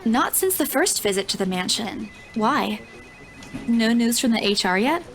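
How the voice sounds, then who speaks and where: An actress voicing a modest, friendly young estate agent, the heroine of a visual novel game, in a casual manner. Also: female voice, a little high, animated, measured pace, a bit bright.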